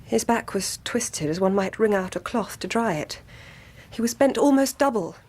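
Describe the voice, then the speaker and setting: Earnest, troubled gentlewoman. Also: mid-range voice, expressive, breathy edge. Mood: haunted. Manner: grave, deliberate, formal. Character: earnest, troubled young gentlewoman, horrified witness. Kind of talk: radio drama acting.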